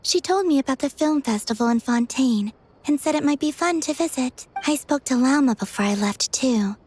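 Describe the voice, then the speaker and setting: A woman playing a serene, innocent young maiden in a video game, gentle, guileless and matter-of-fact. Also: high-pitched, slight background noise, natural voice.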